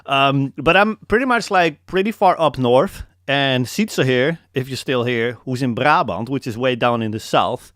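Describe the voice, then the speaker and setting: A man's voice: mid-range voice, a little slow, hardly any pauses, a bit bright, no room sound, studio quality. A young man from the Netherlands with a Dutch accent, hosting a casual live coaching call and hammering home his points with repetition. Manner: emphatic, repeats key points, calls listeners man.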